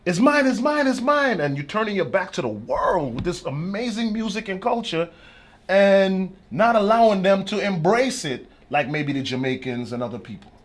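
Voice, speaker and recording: A man's voice: sits high, sing-song, normal speed. A male radio host. He chats casually with his guest in a studio, using informal slang as he goes. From Brooklyn, New York. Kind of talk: casual, conversational interview.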